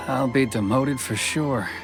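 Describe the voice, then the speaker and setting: A man playing a dutiful, reserved official with a soft heart in a video game, speaking in a formal, restrained way. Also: medium pitch. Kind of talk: English video game voice acting.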